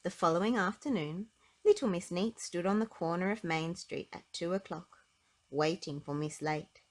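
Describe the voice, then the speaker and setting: A woman narrating a children's storybook aloud in an expressive, emphatic way with clear phrasing. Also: lowish voice, moderate pace.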